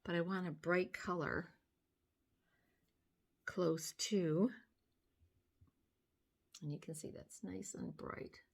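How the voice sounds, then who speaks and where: Hoarse older woman explaining makeup gently. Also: on the low side, steady tone, slow pace, pauses often. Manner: gentle, step-by-step explaining while applying makeup. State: hoarse from sinus trouble. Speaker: beauty vlogger. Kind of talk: makeup tutorial chat. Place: small room at home, indoors. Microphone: phone camera close up.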